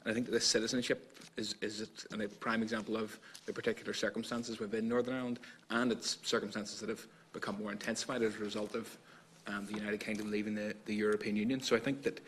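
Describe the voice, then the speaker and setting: Flat, fast-talking male witness. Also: lowish voice, slight background noise. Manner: steady, formal policy statement. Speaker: panel witness. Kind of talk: committee testimony.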